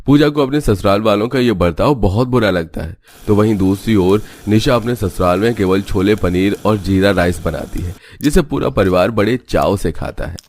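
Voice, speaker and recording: A man's voice: lowish voice, animated, normal speed, few pauses, a little mellow, dry recording. A man reading scripted narration for a cartoon story. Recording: music playing behind the voice. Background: background music.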